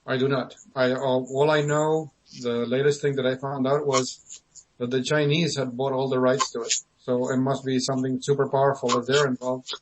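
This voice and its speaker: A man from Argentina, a guest on a radio talk show, giving calm, brief answers to questions. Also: mid-range voice, moderate pace, slight background noise, low-quality recording. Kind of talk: conversational interview answers.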